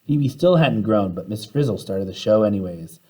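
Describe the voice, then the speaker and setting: Man, children's read-aloud, very lively intonation, husky voice, animated storyteller. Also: lowish voice, moderate pace. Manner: animated, acts out the characters' dialogue.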